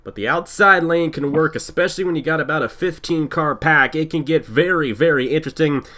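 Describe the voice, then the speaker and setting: A man with a slightly higher, bright and forceful voice calls a sim racing event with announcer energy. He sounds congested from a cold, and his delivery rises and falls in a sing-song way. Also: a little fast. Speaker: play-by-play commentator. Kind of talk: sim racing broadcast commentary.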